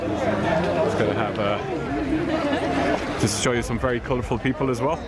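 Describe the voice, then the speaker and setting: A man reporting live from a city street, chatty and halting, with many fillers. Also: mid-range voice, animated. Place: busy city street outdoors. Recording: loud crowd and music behind.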